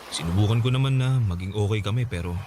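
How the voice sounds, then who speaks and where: A man's voice: lowish voice, fast, noisy background, lightly acted. An actor playing a remorseful, lovelorn young man in a radio drama, pleading earnestly and hesitantly. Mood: earnest confession.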